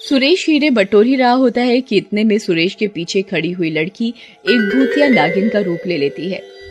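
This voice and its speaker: A woman's voice: medium pitch, lively intonation, moderate pace, music in the background. A woman giving scripted storybook narration for a cartoon.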